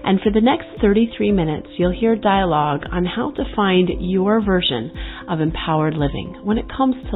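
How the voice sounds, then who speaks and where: A woman hosting her own podcast, talking earnestly and reflectively about life paths and now and then acting out the thoughts of her clients. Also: medium pitch. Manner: earnest, reflective, with quoted inner voices. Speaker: podcast host and coach. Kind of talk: solo podcast monologue. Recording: phone-like narrow sound.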